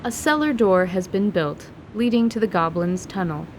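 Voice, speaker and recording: A woman's voice: medium pitch, animated, moderate pace. Woman narrating fantasy drama over noise.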